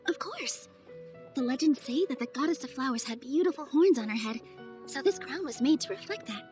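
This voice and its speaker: An actress playing a kind, graceful young festival dancer in a video game, speaking softly and earnestly. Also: female voice, high-pitched, lively intonation, fairly quick, soft background music. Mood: warm, admiring. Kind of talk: video game character voice acting.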